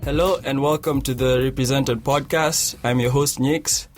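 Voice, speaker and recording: A man's voice: mid-range voice, fairly even intonation, normal speed, faint music behind. A man hosting a podcast, chatting casually with his guest in a studio. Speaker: podcast host. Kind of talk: casual interview chat.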